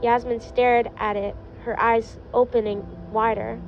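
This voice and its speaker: A woman's voice: fairly high pitch, level tone, a little slow, a little hiss or hum. A woman reading fan fiction aloud as scripted narration. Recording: dull lo-fi audio. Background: music.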